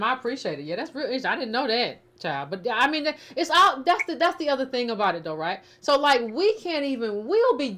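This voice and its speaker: A woman's voice: fairly high pitch, fairly bright tone. A woman hosting casual opinion talk, colloquial and emphatic, repeating phrases as she builds a point. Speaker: host.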